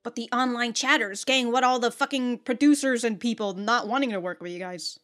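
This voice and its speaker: A woman's voice: fairly high pitch, brisk, fairly bright tone. A woman giving casual, slangy reaction commentary and swearing freely as the host. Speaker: host of an anime reaction show.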